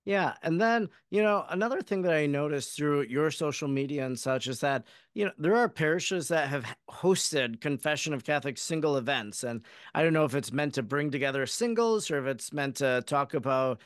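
A man hosts a podcast interview in a conversational style. His voice is clean and smooth, pitched a bit above average for a man. The sound is close and dry, with very little room. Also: expressive, moderate pace, few pauses, dry recording.

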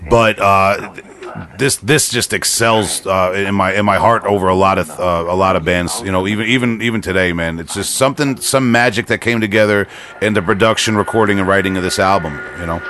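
A man's voice: deep voice, fairly quick, a bit bright, slight background noise. A man hosting a casual music podcast, talking in a rambling, enthusiastic fan's way. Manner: rambling, enthusiastic fan talk. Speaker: podcast host. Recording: music playing behind the voice.

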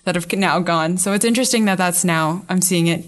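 A young woman with a fairly low voice, chatting easily as she frames her questions. She interviews a fellow student in a relaxed, conversational style. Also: fairly quick. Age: young adult. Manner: chatty, loosely phrased questions. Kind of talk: casual interview.

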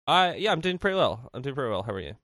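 A man's voice: medium pitch, very animated, racing, a bit bright, no room sound. Dry-joking Scottish man with a cold. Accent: Scottish, mild. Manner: dry, blunt, jokey. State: nasal from a cold. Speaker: podcast co-host. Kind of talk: casual chat.

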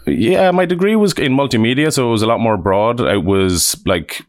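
A man's voice: mid-range voice, lively intonation, normal speed, no room sound, clean studio sound. An Irish man in his thirties, a developer guest chatting casually in an interview, rambling with restarts and self-deprecating asides. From Ireland.

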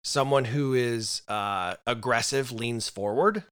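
A man hosting a podcast who steers the conversation and explains his ideas in a measured way. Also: mid-range voice, fairly bright tone, clean tone. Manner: leads the topic, measured explaining. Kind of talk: explanatory discussion.

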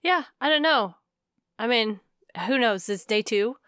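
Smooth-voiced woman in easy chat. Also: a little high, animated, a little slow, sharp tone, no room sound, studio quality. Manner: easygoing, agreeable. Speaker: podcast co-host. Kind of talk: casual chat.

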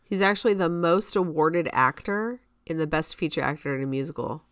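A woman's voice: deep voice, a little fast, a bit bright, no echo, clean studio sound. A woman co-hosting a podcast, chatting casually in a storytelling way and quoting people's reactions as she goes. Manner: conversational storytelling, acts out quoted reactions. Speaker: podcast co-host. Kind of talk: casual chat about musical theater.

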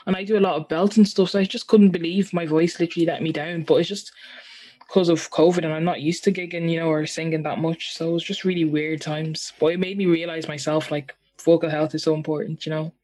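Young man, singer, rambling animated chat, slightly high voice. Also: fast talker. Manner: chatty, animated storytelling with many fillers. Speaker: singer and guest. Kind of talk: casual chat.

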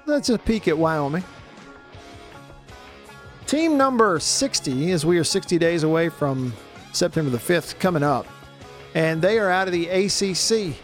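A man hosting a sports radio talk show from a studio, hoarse today, chatty and jokey. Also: on the high side, lively intonation, measured pace, pauses often, faint music behind. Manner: chatty, jokey, lots of fillers.

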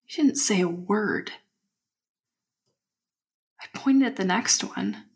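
Slow-speaking, haunted young woman. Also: mid-range voice. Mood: uneasy, insistent. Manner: deliberate, clipped phrases with pauses. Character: haunted, insistent young woman recounting her unease. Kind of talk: scripted audio diary narration, dramatic.

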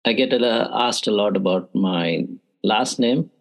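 A man's voice: fairly low pitch, measured pace, creaky. A middle-aged man from India, a math education professor, giving thoughtful interview answers with frequent "uh" and "you know".

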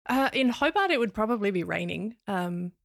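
A woman chatting casually on a podcast, full of "like" and often dropping to a hush. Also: medium pitch, expressive, normal speed, gravelly, no room sound, clean studio sound. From Australia. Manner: chatty, lots of "like", drops to a hush.

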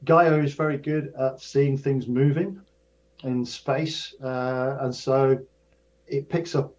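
An older man, a professor of astrophysics, explaining research in a measured way, often restarting and repeating his words. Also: medium pitch, fairly even intonation, darkish tone. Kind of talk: casual explanatory interview. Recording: dull lo-fi sound.

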